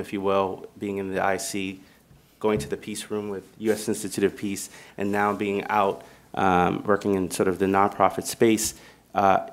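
A man in his thirties on a policy panel, speaking earnestly with many restarts, at a table microphone in a conference room. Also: on the low side. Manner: earnest, repetitive restarts, listing credentials.

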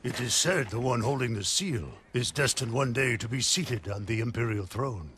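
An actor playing a solemn old veteran general in a video game, speaking portentously. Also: male voice, mid-range voice, normal speed, fairly bright tone, husky. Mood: awed, solemn. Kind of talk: dramatic English video game cutscene acting.

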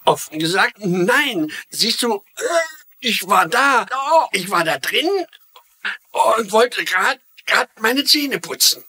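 An actor playing an emotional, self-pitying wounded father in a dubbed TV mystery, with a melodramatic, halting delivery. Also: sits high, expressive, bright, forceful tone, slightly rough, heavily acted. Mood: halting, dramatic. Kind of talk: dubbed TV mystery drama acting.

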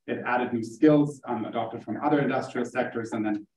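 A man's voice: medium pitch, fairly quick, a little mellow, slight background noise. Distant, roomy male academic lecturer from Germany. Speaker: academic researcher giving a seminar talk. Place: indoor seminar room, streamed over video call. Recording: roomy, distant mic in seminar room.

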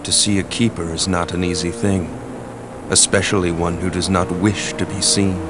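An actor playing a calm, cryptic older keeper in a video game cutscene, speaking in a slow, measured, formal way. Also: male voice, deep voice, background noise, close to an everyday voice. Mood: solemn, inviting. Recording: music under the voice.

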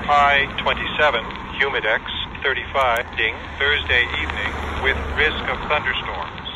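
A man's automated voice reading forecasts in measured, clipped phrases over a radio. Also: medium pitch. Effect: radio transmission. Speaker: weather radio announcer. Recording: noisy handheld radio speaker outdoors. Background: static and outdoor noise.

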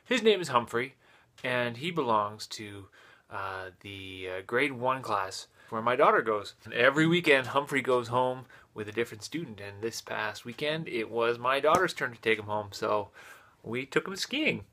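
Bright-voiced man in his thirties vlogging. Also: mid-range voice, moderate pace. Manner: relaxed, with frequent "uh" fillers. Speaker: vlogger and father. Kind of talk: casual vlog to camera. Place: small hotel room, indoors. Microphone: phone camera at arm's length.

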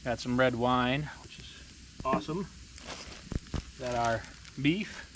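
A man's voice: mid-range voice, takes it slowly, background noise. A man in his thirties casually vlogging outdoors at a snowy campsite, chuckling and talking as he works over a fire pit, recorded by a camera a few feet away. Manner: laid-back, chuckling, talks while working. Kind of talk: casual camp cooking vlog. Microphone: camera on a tripod a few feet away. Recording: outdoor ambience and sizzling fire.

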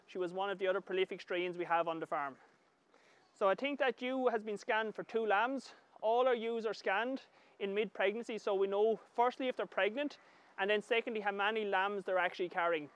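A middle-aged man with an Irish accent giving calm, measured hands-on instructional commentary as an agriculture professor. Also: sits high, smooth. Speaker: agriculture professor demonstrating lambing. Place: large open livestock shed with straw pens.

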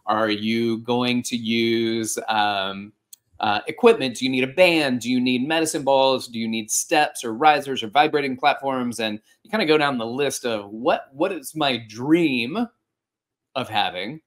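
A man in his forties hosting a fitness podcast from a home room, giving casual practical advice on a video-call style setup with lively gestures. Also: mid-range voice, a little slow. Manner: animated, gesturing, with frequent restarts. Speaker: podcast host and fitness instructor. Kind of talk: casual instructional talk. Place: home room with a couch and art.

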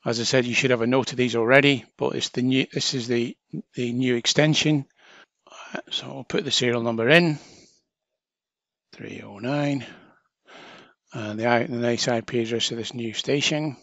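A man with a mid-pitched voice walks through a software setup as a training instructor. He is informal and chatty, explaining each action step by step. The sound is dry, with very little room in it. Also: moderate pace, vocal fry, pauses often, no room sound. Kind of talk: casual step-by-step software tutorial narration.

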